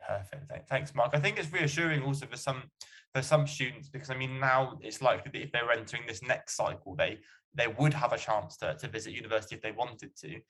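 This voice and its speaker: A man's voice: mid-range voice, quick pace, a bit bright, rough recording. A man hosting a student question-and-answer panel in a conversational, encouraging way. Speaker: panel host.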